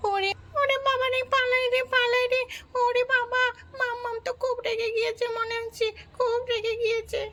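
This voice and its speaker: A woman's voice: very high-pitched, completely flat delivery, normal speed, hardly any pauses, fairly bright tone, pitched above the performer's own voice. A woman voicing a mischievous little girl who squeals and pleads in fright. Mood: frightened squeal. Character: mischievous little girl, scared of being scolded.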